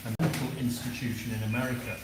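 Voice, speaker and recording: Male, medium pitch, casual anecdotal chat, echoey room, clear background noise. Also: level tone, quick pace.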